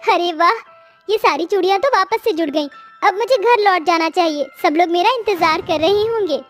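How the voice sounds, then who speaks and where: A woman puts on a kind, emotional young bangle seller from a storybook. The voice is extremely high and expressive, with faint music behind. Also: moderate pace. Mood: pleased.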